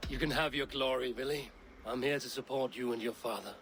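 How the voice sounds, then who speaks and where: An actor playing a steady, wry Viking comrade in a video game, trading dry banter. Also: male voice, mid-range voice, brisk, a little hiss or hum, close to an everyday voice.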